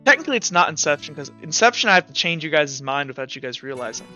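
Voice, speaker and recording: A man's voice: a little high, sing-song, fast talker, bright, forceful tone, dull audio, faint music behind. A young man running a tabletop roleplay game as game master, talking casually with many fillers and restarts.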